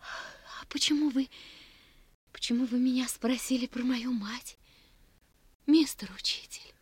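An actress playing a sensitive, trusting sixteen-year-old girl, earnest and halting, in a 1960s Soviet radio drama. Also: sits high, steady tone, husky, no room sound, full character voice. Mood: hushed, halting.

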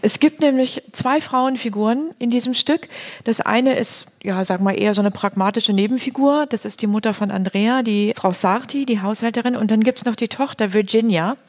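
A woman's voice: a little high, moderate pace. A woman co-hosting an informal, plain-spoken conversation about literature. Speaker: co-host.

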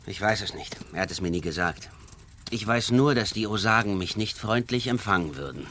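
Bright-voiced, guarded western rider. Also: male voice, on the low side, animated, a little fast, slight background noise. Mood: guarded, dry. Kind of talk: western radio drama acting.

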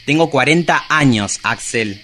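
Man acting a theatrical, needy drag diva, swooping and sharp. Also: medium pitch, expressive, measured pace, slight background noise. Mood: wistful, self-pitying. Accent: Argentine. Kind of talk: radio drama acting.

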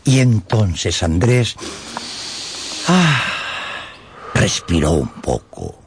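A man narrating a dramatised audiobook in a theatrical, expressive way, with dramatic pauses and a gravelly edge. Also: fairly low pitch, slight background noise.

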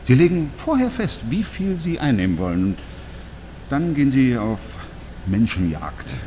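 An actor playing an earnest, troubled East German professor in a radio drama, hesitant at times and emphatic at others. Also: male voice, mid-range voice, sing-song, normal speed, warm tone, a little hiss or hum, close to an everyday voice. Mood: appalled.

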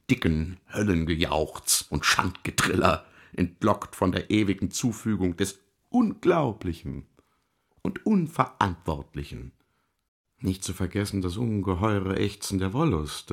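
An actor playing a sardonic, eloquent devil in a literary radio drama, gravelly and theatrical, savouring each word with mockery. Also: male voice, low voice, heavily acted. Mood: relishing, grim. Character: sardonic, eloquent devil lecturing on Hell's torments.

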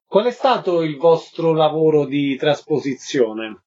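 A man with a fairly high voice hosts a radio interview. The recording is a little dull, and he speaks as a presenter asking questions and introducing guests. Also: lively intonation, measured pace, a little mellow. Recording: slightly dull radio sound.

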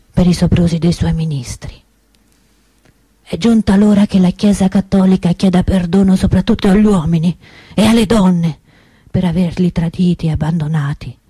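A reformist preacher in a radio drama, solemn and accusing. The voice is low and mellow with a husky grain, recorded close and dry. The delivery is rhetorical, like a stage sermon. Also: female voice, no echo. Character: impassioned reformist preacher celebrating mass, accusing the Church. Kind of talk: theatrical sermon monologue.

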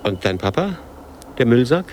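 A man acting a weary, despairing figure in a radio drama, speaking in terse, halting phrases. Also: mid-range voice, sing-song, darkish tone, a little hiss or hum, close to an everyday voice. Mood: curt, questioning. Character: weary, despairing man who wants to die.

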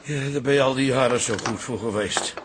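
An actor playing an excitable, grumbling old father-in-law in a radio comedy, melodramatic and indignant. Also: medium pitch, a little fast, background noise, close to an everyday voice. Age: older. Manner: melodramatic, wisecracking. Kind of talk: radio comedy drama acting.

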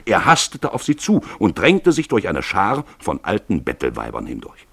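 Deep, husky male radio narrator. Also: normal speed, no echo. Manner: theatrical, expressive storytelling with emphatic stretched words. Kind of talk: dramatic literary narration for radio play.

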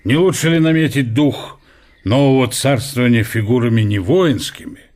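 A man's voice: mid-range voice, darkish tone, close to an everyday voice. A man playing a blunt weary old scholar. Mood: reluctant. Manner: blunt, measured. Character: gruff, weary older scholar, unwell man. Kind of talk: radio play acting.

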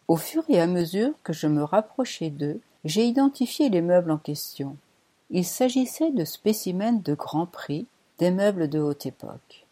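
Lady reading a thriller novel out loud, kinda low and mellow, dry wry delivery. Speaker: audiobook narrator. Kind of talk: scripted first-person novel reading.

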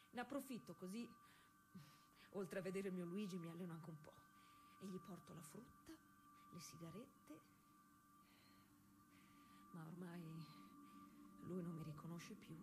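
A woman's voice: mid-range voice, background noise, natural voice. A woman playing a working-class 1920s cyclist, weary but stubborn, in an intimate, colloquial theatrical monologue. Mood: troubled, tender, then weary, sorrowful. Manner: intimate colloquial storytelling with dramatic pauses.

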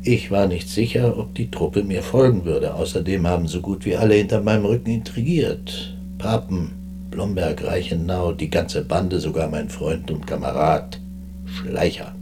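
An actor as a low-voiced, disdainful retired army chief. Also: male voice, normal speed, over music, close to an everyday voice. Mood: bitter, disdainful. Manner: dry, dismissive, aristocratic clipped remarks. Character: weary, proud retired German army chief, curt and disdainful. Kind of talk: radio drama dialogue.

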